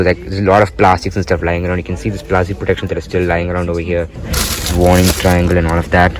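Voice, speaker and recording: Low-voiced man touring a car interior. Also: slight background noise, rough recording. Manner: relaxed, many "uh" fillers, pointing out features. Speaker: car reviewer. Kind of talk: casual walkaround commentary. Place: inside a car in a showroom.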